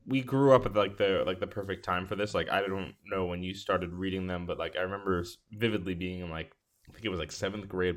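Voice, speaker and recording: A man co-hosting a podcast, talking casually in a rambling, halting way as he thinks aloud. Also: sits low. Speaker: podcast co-host. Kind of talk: casual chat.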